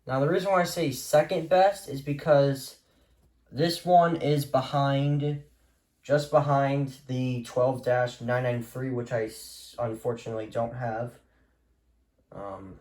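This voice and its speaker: A man's voice: mid-range voice, darkish tone, low-quality recording. Casual, rambling man hosting a hobby video. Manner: informal, rambling, offhand. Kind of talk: casual showcase of a radio collection, unscripted.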